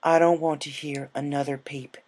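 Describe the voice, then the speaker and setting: A man's voice: a little high, level tone, normal speed, darkish tone, close to an everyday voice. A man voicing a protective adult female farm pony in a fan story, folksy, firm and plain-spoken. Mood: angry scolding.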